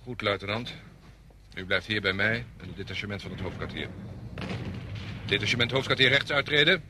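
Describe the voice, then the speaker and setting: A man playing a brisk, exacting army major in a radio drama, giving clipped, authoritative orders. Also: lowish voice, sharp tone. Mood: brisk, commanding. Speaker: actor in a radio play. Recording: very noisy old radio recording.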